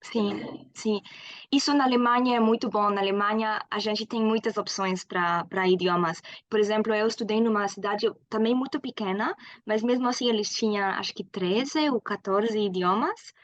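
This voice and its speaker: A woman's voice: mid-range voice, normal speed, no room sound, muffled recording. German woman in her twenties, guest, hesitant and full of fillers, dry close sound. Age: 20s. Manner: hesitant with frequent fillers, laughs often. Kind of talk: casual interview.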